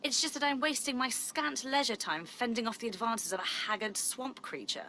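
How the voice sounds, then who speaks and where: A woman's voice: on the high side, normal speed, pushed and bright, a little hiss or hum. An actress playing a sardonic, flirtatious, haughty woman in a video game, arch and teasing. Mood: mocking disdain.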